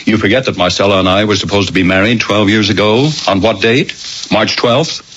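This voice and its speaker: An actor playing an upbeat, self-assured executive husband in an old-time radio drama, jocular and wordy, with a mock-pompous way of reasoning. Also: male voice, on the low side, brisk, fairly bright tone, lightly acted. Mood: reasoning, reassuring. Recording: muffled, noisy old radio broadcast.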